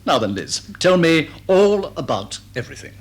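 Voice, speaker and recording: A vain middle-aged English matinee idol in a radio comedy. His voice is high for a man and swoops freely as he declaims, indignant and self-dramatising, putting questions and answering them himself. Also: big pitch swings, moderate pace. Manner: grandly declamatory, asks and answers own questions. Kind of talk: stage drawing-room comedy in radio drama.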